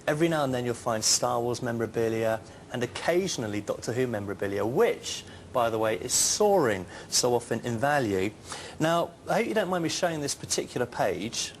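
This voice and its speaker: A man's voice: medium pitch, animated, a little fast, few pauses, a little hiss or hum. A British man presenting and interviewing as host of a television shopping show.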